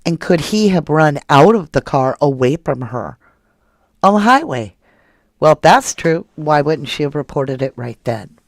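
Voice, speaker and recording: A woman's voice: deep voice, big pitch swings, unhurried, dry recording, studio quality. A woman hosting a true crime channel, reading viewer comments aloud and firing off indignant rhetorical questions in a casual live commentary.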